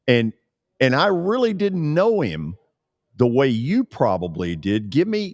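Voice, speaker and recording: A man's voice: medium pitch, no echo, clean studio sound. A man hosting a radio show, interviewing a guest in a casual sports-talk style.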